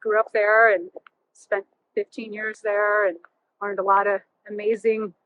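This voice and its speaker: A woman's voice: medium pitch, flat delivery, unhurried, dry recording, narrow, tinny audio. A woman in her thirties whose first language is Russian, telling personal stories casually as an interview guest. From born near Chernobyl, emigrated as a child to California. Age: 30s. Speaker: interview guest, realtor and former firefighter.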